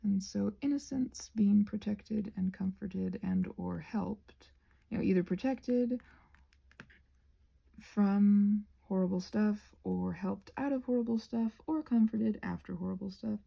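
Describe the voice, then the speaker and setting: A woman chatting in a rambling, halting ASMR style, soft-spoken and often slipping into whispers. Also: medium pitch, creaky, slightly rough, lo-fi sound. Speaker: ASMR creator rambling while painting a craft project. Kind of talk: ASMR rambling casual chat.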